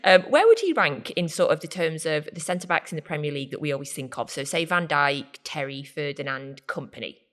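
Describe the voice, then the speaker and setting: A young woman from Scotland co-hosting a podcast, chatty and expressive, laughing easily. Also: low voice, a little fast, few pauses. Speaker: podcast co-host. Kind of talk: casual podcast chat.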